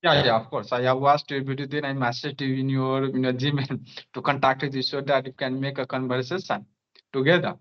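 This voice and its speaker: A young man talks over a muffled online call. His pitch sits in the middle, and he hesitates often and fills gaps as he speaks. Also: little pitch movement, a little fast. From Nepal. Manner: hesitant, many fillers. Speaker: guest. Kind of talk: casual conversation practice.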